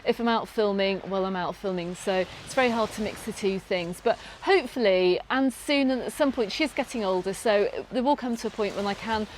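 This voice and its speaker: British woman, travel vlog host, upbeat and chatty, ums and restarts, mid pitch, outdoors with faint ambience. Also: a little fast, few pauses, slight background noise, rough recording. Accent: British English. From Britain. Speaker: travel vlogger. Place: outdoors on a sunny beach, in a lifeguard tower.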